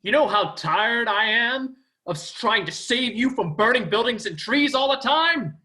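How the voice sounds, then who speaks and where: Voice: mid-range voice, lively intonation, measured pace, sharp tone. An actor playing a vain, pompous male superhero who admires his own looks. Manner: pompous, preening.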